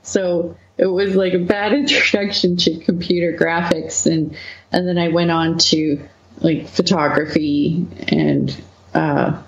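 A woman from Manitoba, Canada, a webcomic co-founder as the guest, talking through her past slowly with frequent laughs and fillers. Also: low-pitched, reverberant, lo-fi sound. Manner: slow, laughing often, many fillers. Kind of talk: relaxed interview conversation.

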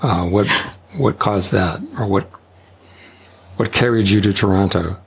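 A man hosting a tech podcast and interviewing a guest, speaking haltingly with frequent "uh"s and restarts. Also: lowish voice, gravelly, slightly rough. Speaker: podcast host.

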